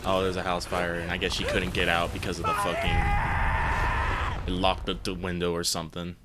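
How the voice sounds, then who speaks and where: Very deep-voiced man on a noisy stream. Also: monotone, fairly quick. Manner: short reactions and offhand trivia asides. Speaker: co-host of a live gaming stream. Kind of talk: casual live-stream chat over gameplay. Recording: audible background noise on stream audio.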